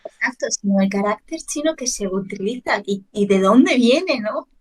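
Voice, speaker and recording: A woman's voice: fairly high pitch, expressive, moderate pace, breathy edge. A young woman whose first language is Chinese, co-hosting a casual podcast and explaining ideas with examples. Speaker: podcast co-host, language teacher. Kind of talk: casual conversational chat.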